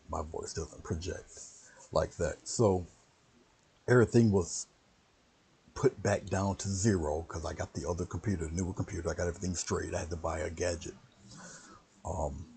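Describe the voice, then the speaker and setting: A man with a very low voice giving a casual, rambling painting lesson on a livestream and greeting viewers by name. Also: vocal fry, mellow, husky. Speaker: painting instructor.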